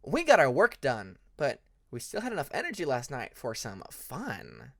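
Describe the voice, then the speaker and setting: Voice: mid-range voice, wildly swooping pitch, normal speed, creaky, fairly bright tone, heavily acted. A narrator voicing a playful young female dragon, teasing and melodramatic, in an audiobook. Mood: playful correcting. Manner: melodramatic, exaggerated emphasis.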